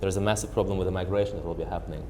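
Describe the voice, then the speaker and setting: Deep-voiced, fast-talking young man. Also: a little hiss or hum. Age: young adult. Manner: eager, energetic, quick to laugh. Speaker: panelist. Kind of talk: panel discussion.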